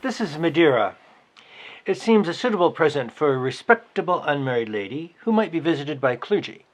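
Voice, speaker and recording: A man's voice: on the high side, very animated, brisk. A man playing a fussy, critical older boss in a dramatized novel, complaining precisely. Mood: drily pompous.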